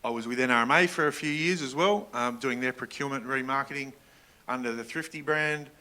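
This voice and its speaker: A man's voice: mid-range voice, a little fast, sharp tone. A middle-aged man giving an informal business workshop presentation, engaging directly with his audience. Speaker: workshop presenter, general manager.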